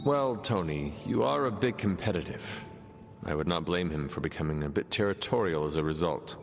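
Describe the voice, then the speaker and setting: An actor playing a calm resurrected warrior in a video game, speaking in a measured, formal, earnest way. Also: male voice, sits low, vocal fry, long reverb tail, faint music behind. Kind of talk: video game cutscene acting.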